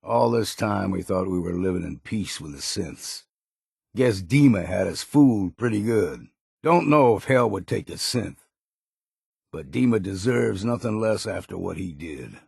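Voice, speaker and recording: An actor playing a gruff, wary old islander sailor in a video game, with a gravelly, husky voice and salty, folksy, blunt talk. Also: male voice, deep voice, no echo, clean studio sound, exaggerated voice. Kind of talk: scripted video game voice lines.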